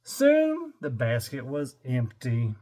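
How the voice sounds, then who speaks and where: A man's voice: mid-range voice, wildly swooping pitch, slow pace, dark tone. An older man reading a children's picture book aloud in a playful, theatrical way, stretching words and slipping in wry muttered asides. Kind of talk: animated children's read-aloud with joking asides.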